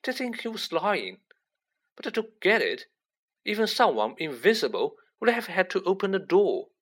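A weary schoolboy grumbling to his friend in a storybook, played by a man. The voice is pitched up and bright, with a complaining, animated swing to it. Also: moderate pace, breathy edge, full character voice. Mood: puzzled. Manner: groaning, complaining. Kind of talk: audiobook character acting.

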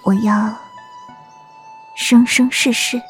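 A woman playing a dutiful, affectionate young wife in a Chinese period audio drama, speaking in a gentle, respectful way. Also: fairly high pitch, fairly even intonation, slow, soft background music. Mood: affectionate, teasing. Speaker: voice actor in an amateur audio drama.

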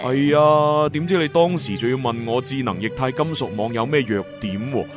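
A man's voice: mid-range voice, normal speed, music in the background, natural voice. A man with a Hong Kong Cantonese accent acting a boastful, crafty senior agent from the future in a sci-fi radio drama. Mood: smug, revealing.

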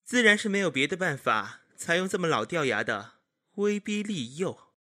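An actor playing a sharp-tongued, sardonic young male retainer in a Chinese period audio drama. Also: on the high side, sing-song, moderate pace. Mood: mocking. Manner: mocking, sardonic. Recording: loud background music.

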